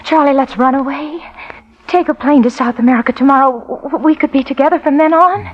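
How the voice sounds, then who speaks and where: An actress playing an anxious, pleading young wife who stammers, in a 1940s radio drama on a muffled old recording. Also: female voice, high-pitched, brisk, close to an everyday voice. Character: anxious unhappy young wife, desperate to flee.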